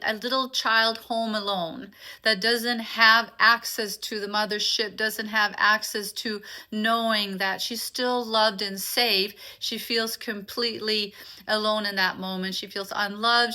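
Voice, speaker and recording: A woman's voice: mid-range voice, sharp tone. A woman from Denmark, a native Danish speaker, hosting an informal live online session as a meditation teacher, welcoming attendees and explaining things to them directly. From Copenhagen, Denmark.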